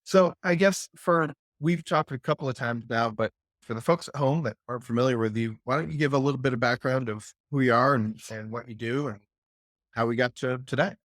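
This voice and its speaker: A man hosts a podcast and introduces his guest, speaking in a relaxed way and leaning on filler words. His voice sits at an average pitch. The recording is dry and close, with no room sound. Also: fairly quick, no room sound. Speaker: podcast host. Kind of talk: casual interview hosting.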